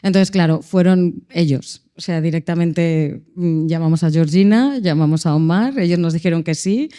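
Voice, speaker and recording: Upbeat mellow-voiced woman. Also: fairly low pitch, normal speed. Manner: enthusiastic, upbeat. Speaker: casting and production lead. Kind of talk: panel discussion answer.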